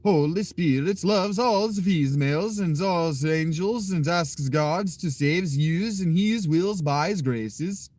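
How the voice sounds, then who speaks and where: A man's voice: on the high side, slow, few pauses, a little mellow, pitched above the performer's own voice. A man putting on a silly mock-Swedish voice for a singsong, preachy male character.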